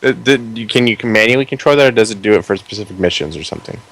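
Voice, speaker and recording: A man's voice: lowish voice, rapid-fire, a bit bright, poor audio. A man hosting a podcast, chatting casually about video games in a blunt, sarcastic and critical way. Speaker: podcast host. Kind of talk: casual video game review chat.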